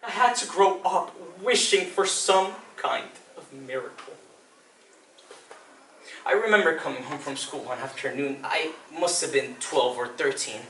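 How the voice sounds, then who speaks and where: A man's voice: fairly high pitch, fairly bright tone. Man acting a wistful, troubled soul, echoey room. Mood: anguished, hesitant. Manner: hesitant, confessional, with heavy pauses. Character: troubled, wistful adult recalling an unhappy childhood. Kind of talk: dramatic stage monologue. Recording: distant mic, roomy echo, faint noise.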